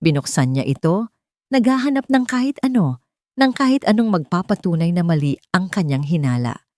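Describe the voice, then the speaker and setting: A woman with a Filipino accent narrating a scripted love story in a measured, sentimental way. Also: fairly low pitch, very animated, warm tone, no room sound, studio quality. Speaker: narrator of audio love stories.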